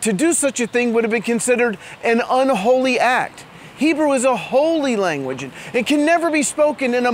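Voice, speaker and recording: A man's voice: very high-pitched, slight background noise. A man hosting, presenting in an earnest, scripted storytelling way outdoors in a town street. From immigrant to Israel. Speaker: host.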